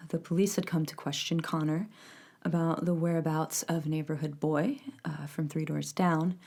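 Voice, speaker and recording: A woman's voice: deep voice, a little mellow. A woman narrating backstory in an audio drama in a matter-of-fact way.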